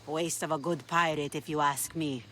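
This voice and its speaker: A woman's voice: low-pitched, fairly even intonation, normal speed, a bit bright, slight background noise. A woman playing a self-assured, wry pirate captain of ancient Greece in a video game. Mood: wry, businesslike. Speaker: game character. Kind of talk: video game cutscene acting.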